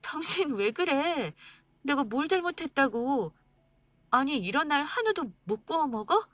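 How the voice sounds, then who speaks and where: A woman voicing a hurt wife who argues back, tearful and indignant, her voice breaking high. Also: big pitch swings, normal speed, fairly bright tone, no room sound. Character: hurt young wife pleading and arguing back.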